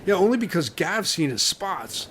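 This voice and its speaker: Man acting an excitable, lively castaway. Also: high-pitched, moderate pace, slight background noise. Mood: insistent. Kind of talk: comedy audio drama.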